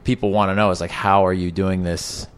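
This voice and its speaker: A man's voice: sits low, little pitch movement, fairly quick, clean tone. A man in his thirties hosting a podcast, asking long, rambling questions in a joking manner. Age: 30s. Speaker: podcast host. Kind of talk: casual podcast chat.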